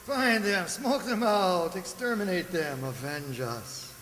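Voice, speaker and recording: An actor playing a dismissive, tired husband with a brusque, slangy manner in an amateur radio drama. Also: male voice, high voice, animated, slow pace, fairly bright tone, faint music behind, full character voice. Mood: mocking. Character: dismissive, tired working-class American husband. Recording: echoey hall.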